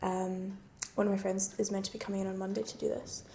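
Young woman from the western isles, gravelly and flat, mellow tone, faint hiss. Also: medium pitch, fast. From Western Isles, Scotland. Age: young adult. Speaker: university student. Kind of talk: casual chat.

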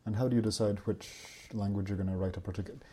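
Man, interviewer; quick, probing questions, husky low voice. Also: steady tone, warm tone. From Scotland. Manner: probing questions with frequent backchannels. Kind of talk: research interview.

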